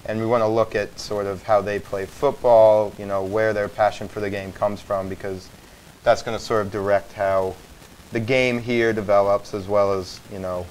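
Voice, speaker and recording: A man's voice: on the low side, flat delivery, normal speed, slight background noise, poor audio. A man answering interview questions in a thoughtful way, hedging with small fillers.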